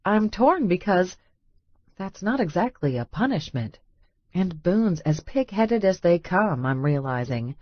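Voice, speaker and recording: A woman's voice: fairly low pitch, very animated, measured pace, no echo. A woman reading a scripted first-person romance audiobook, with slightly muffled sound. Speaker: audiobook narrator.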